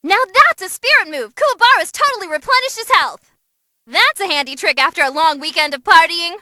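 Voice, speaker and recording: Squeaky, excitable young demon girl announcer. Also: a little fast, no room sound, studio quality, exaggerated voice. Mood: amazed. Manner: bubbly, cheeky, dramatic. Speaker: fight commentator. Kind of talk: animated fight commentary.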